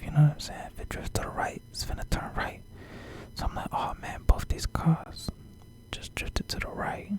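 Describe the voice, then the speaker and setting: An American man tells a story in a breathy ASMR whisper. His delivery is slangy and rambling, doubling back on phrases and checking in often. The pitch moves around a great deal, very animated for such hushed speech. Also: poor audio.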